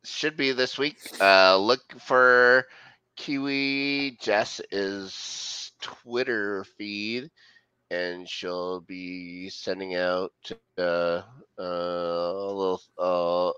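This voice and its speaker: A man's voice: deep voice, fairly even intonation, extremely slow, bright, forceful tone, clear, resonant tone, no room sound. Middle-aged man, sarcastic banter, lo-fi dry headset call audio. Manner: sarcastic, joking, swears freely. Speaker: podcast co-host. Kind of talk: casual banter. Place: home room. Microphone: headset on video call.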